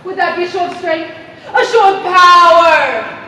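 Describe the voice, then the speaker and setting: A woman acting as an imperious committee president, very high and bright of voice. She delivers scheming, pompous speeches with grand theatrical deliberation on stage, heard from a distance in a reverberant hall. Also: measured pace, full character voice. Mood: persuasive, menacing. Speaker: stage actor. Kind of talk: live one-act stage play acting. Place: indoor theater stage, recorded from afar. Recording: echoey theater hall, distant mic, faint noise.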